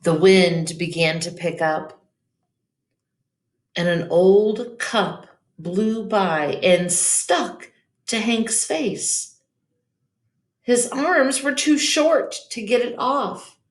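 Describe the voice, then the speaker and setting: A woman's voice: medium pitch, lively intonation, extremely slow. A woman reads a storybook aloud for children in an echoey room. She takes her time, narrating expressively like a teacher in front of young listeners. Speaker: teacher reading a picture book aloud.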